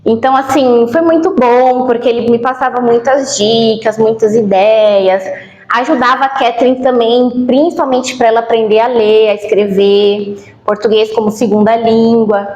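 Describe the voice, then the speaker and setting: A Brazilian woman with a fairly high voice speaks in a roomy, echoing space. She works as a school interpreter and gives her testimonial haltingly, stretching words for emphasis. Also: fairly even intonation, a little mellow, dull audio. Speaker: sign language interpreter at a school. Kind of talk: spoken testimonial in a school podcast. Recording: roomy echo.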